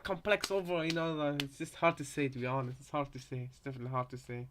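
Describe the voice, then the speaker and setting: A man's voice: mid-range voice, level tone, quick pace, noisy background. A man chatting casually on a live reaction stream, rambling hesitantly and often repeating his phrases. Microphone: headset.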